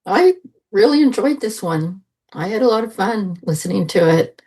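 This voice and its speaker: A woman's voice: mid-range voice, expressive, normal speed, dark tone. A woman giving her opinions as a panelist in a casual review chat. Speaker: podcast panelist reviewing audio drama.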